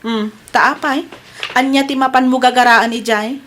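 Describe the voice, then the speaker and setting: Female actor as practical wife who asks questions, fairly high voice, Ilocano accent, radio serial acting. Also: steady tone, normal speed, fairly bright tone. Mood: inquiring.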